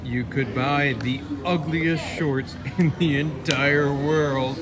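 A man's voice: fairly high pitch, fairly even intonation, takes it slowly. A man giving an informal, enthusiastic walk-and-talk vlog narration, casually peppering his sentences with "like.". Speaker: vlog host reviewing a ballpark. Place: busy baseball stadium concourses and shops. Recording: loud crowd and stadium noise. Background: loud crowd chatter and venue noise.

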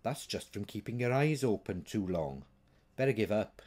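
Man reading as a brisk, wry witch woman. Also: fairly low pitch, animated, darkish tone, breathy edge. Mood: dismissive. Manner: blunt, wry, no-nonsense. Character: brisk, practical, teasing witch woman, sharp-tongued friend.

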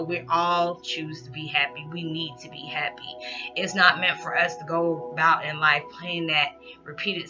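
Woman in her 30s hyping up her viewers from her living room, loud and rowdy, muffled laptop mic with music under it. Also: deep voice. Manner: animated, loud, rowdy, lots of hand gestures. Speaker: vlogger. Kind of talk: casual motivational talk to camera. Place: small living room indoors. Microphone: laptop built-in mic. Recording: dull lo-fi laptop audio with background music.

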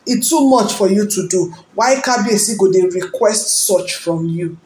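A woman's voice: medium pitch, normal speed, a little mellow, reverberant, a little hiss or hum. A woman speaking Nigerian-accented Pidgin, hosting celebrity gossip commentary in a dramatic, rhetorical way and calling out to her viewers. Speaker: gossip channel host.

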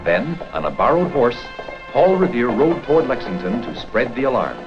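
A man reading scripted documentary narration for an educational history film in a formal, measured storytelling manner. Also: medium pitch, big pitch swings. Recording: dull 16mm film soundtrack with music.

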